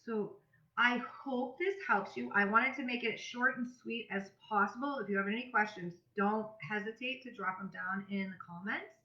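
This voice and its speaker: A woman's voice: mid-range voice, moderate pace, pushed and bright. A woman giving a casual, chatty instructional talk as a business coach, in a conversational manner. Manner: chatty, conversational, acts out example messages. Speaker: business coach presenting a tutorial. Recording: roomy echo, slightly dull audio.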